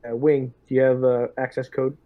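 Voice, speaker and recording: A man's voice: medium pitch, little pitch movement, normal speed, dry recording, dull audio. A man playing a squad leader in a tabletop game, handing out instructions hesitantly and casually. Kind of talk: casual in-character game talk.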